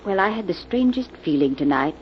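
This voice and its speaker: An actress playing a wealthy older widowed aunt, genteel and emphatic, in a 1940s radio drama. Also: female voice, on the low side, lively intonation, brisk, lightly acted. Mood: uneasy. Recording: muffled old radio recording with hiss.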